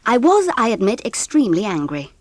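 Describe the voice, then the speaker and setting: Actress as a mother, lively storytelling. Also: a little high, soft background music. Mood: composed, candid. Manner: measured storytelling, expressive. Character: mother recounting events, composed and candid. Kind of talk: scripted audiobook narration.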